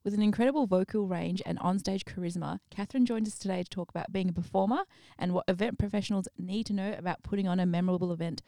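A woman podcast host reading a scripted introduction of a guest, smooth and upbeat. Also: medium pitch, fast talker, hardly any pauses, dark tone, no room sound, studio quality.

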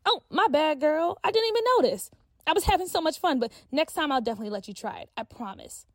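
Breezy chatty schoolgirl played by a woman, bright and high, swooping. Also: lively intonation, no echo, clean studio sound. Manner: chatty, breezy, quick to brush off. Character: bubbly self-absorbed schoolgirl friend.

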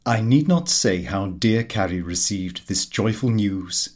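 A man reading a comic diary aloud as expressive audiobook narration. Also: on the low side, measured pace. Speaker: audiobook reader.

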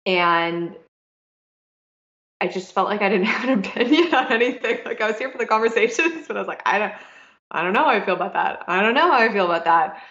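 A young adult woman hosting a podcast, musing in a thoughtful, halting way with many fillers and restarts. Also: medium pitch, expressive, fairly bright tone. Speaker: podcast host. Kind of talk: reflective casual conversation. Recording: echoey, dull lo-fi room sound.

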